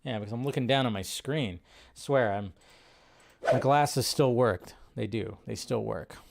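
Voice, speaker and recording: A man's voice: mid-range voice, measured pace, vocal fry, slight background noise. A man hosting a casual film news livestream, rambling with lots of fillers and restarts as he reads out viewer questions and answers them offhandedly.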